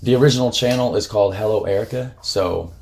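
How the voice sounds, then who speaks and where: A man's voice: fairly low pitch, quick pace, creaky. A man with a Midwestern American accent, co-hosting a casual reaction chat and rambling with fillers and restarts. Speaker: reaction channel co-host.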